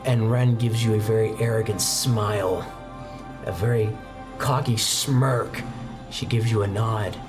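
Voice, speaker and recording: A man's voice: on the low side, fairly even intonation, a little slow. Male game master narrating over music. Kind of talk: improvised tabletop game narration.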